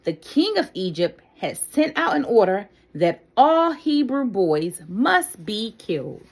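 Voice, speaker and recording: A woman storyteller reads a children's story at a very slow, deliberate pace. Her pitch sits in a middle range for a woman but swings dramatically up and down. She phrases carefully and expressively, as if for young listeners. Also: sing-song. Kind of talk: read-aloud children's Bible story.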